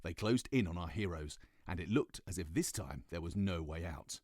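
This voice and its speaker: A British man narrating a children's story in an animated, expressive audiobook style. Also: low voice, normal speed, dry recording, clean studio sound.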